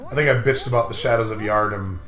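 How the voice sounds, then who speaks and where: A man's voice: on the low side, racing, a bit bright. Rambling man in a roomy, music-backed recording. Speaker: co-host of a gaming playthrough show. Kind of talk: casual chat over a video game. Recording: roomy echo with music behind.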